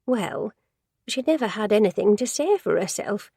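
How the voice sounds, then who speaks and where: A woman's voice: medium pitch, expressive, normal speed, creaky, warm tone, husky, no echo, clean studio sound. A woman voicing a gossipy, judgmental older woman who works in an office, rambling and easily sidetracked in a storybook reading.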